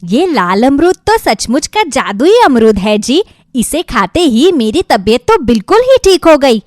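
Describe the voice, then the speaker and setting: A woman's voice: high voice, very animated, measured pace, a little mellow, no echo, studio quality. A woman playing a greedy, bossy village wife in a cartoon moral story, with an emphatic storybook delivery. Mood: relieved.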